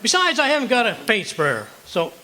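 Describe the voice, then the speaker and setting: A man's voice: extremely high pitch, wildly swooping pitch, a little fast, sharp tone, some chatter behind, full character voice. A man playing a blustery, stubborn, penny-pinching husband in an old-time radio comedy, with indignant, folksy exaggeration. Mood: eager, scheming. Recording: live stage performance with background noise.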